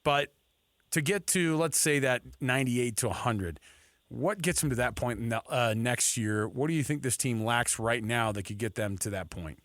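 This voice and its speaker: A man's voice: mid-range voice, normal speed, fairly bright tone, no echo. A man hosting sports talk radio, bantering and asking questions in an informal, conversational way. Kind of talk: casual banter and interviewing.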